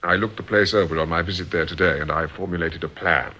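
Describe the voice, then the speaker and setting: An actor playing a suave, authoritative criminal boss in a radio drama, speaking in a measured, formal, businesslike way. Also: male voice, low-pitched, steady tone, pushed and bright, muffled recording. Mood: smooth, persuasive. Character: suave, authoritative male criminal boss running a scheme like a company.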